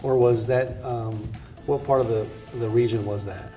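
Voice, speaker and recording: A man's voice: medium pitch, steady tone, normal speed, warm tone, soft background music. A man hosting a cooking show, moving from scripted narration into a chatty, upbeat interview with guests. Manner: chatty, upbeat, rambling restarts.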